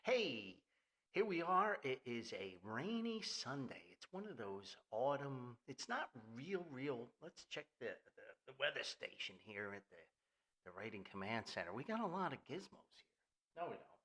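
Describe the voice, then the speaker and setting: A man's voice: medium pitch, big pitch swings, unhurried, pushed and bright. An older man hosting an informal podcast in a folksy, jokey, rambling way with theatrical emphasis. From New Jersey, United States. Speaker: podcast host. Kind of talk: informal solo podcast monologue with sponsor reads.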